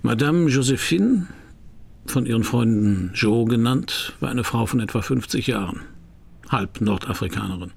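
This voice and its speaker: An actor playing a laconic middle-aged private detective in a radio crime drama, with a dry, understated manner and a gravelly voice. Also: male voice, medium pitch, expressive, moderate pace. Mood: wry narrating. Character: wry, laconic French private detective, middle-aged man, narrating his case. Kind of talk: 1990s radio crime drama, first-person narration and dialogue.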